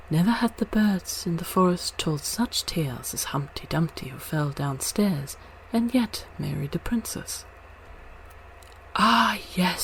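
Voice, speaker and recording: A woman's voice: lowish voice, poor audio. A woman narrating a storybook as a read-aloud, with some noise behind her voice. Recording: noticeable background noise.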